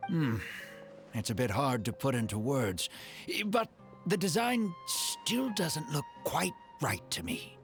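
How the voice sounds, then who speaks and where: A fussy, hard-to-please client from a game talks politely and hesitantly. The pitch moves a lot, with faint music underneath. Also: very animated, slow pace. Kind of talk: game voice acting.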